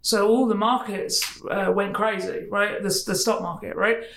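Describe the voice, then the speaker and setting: A man's voice: sits high, fairly even intonation, normal speed, gravelly, a bit bright, roomy sound, slight background noise, poor audio. A middle-aged man hosting an interview, talking in a rambling, chatty way full of asides. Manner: rambling, chatty, many fillers. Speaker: podcast host. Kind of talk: casual interview chat.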